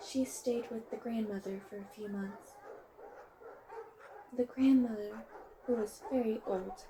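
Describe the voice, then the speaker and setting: A woman playing a friendly, wholesome tomboy dog girl who runs a kennel, talking in a gentle, encouraging, chatty way in a roleplay audio. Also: fairly high pitch, creaky, noisy background. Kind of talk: roleplay audio, acted one-sided conversation.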